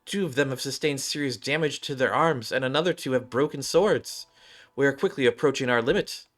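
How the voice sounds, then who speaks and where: A man's voice: medium pitch, a little fast, fairly bright tone, voice pushed up, close to an everyday voice. Man voicing an indignant, archaic-speaking swordswoman soldier. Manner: formal archaic diction, indignant. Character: angry archaic-speaking woman soldier with a sword, confronting her commander.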